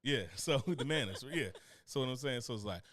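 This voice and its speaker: A man's voice: mid-range voice, animated, fairly quick, fairly bright tone, dry recording, clean studio sound. Laid-back, profane man rambling on a podcast. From Newark, New Jersey. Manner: loose, profane, rambling with laughs. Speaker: podcast co-host. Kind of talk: casual chat.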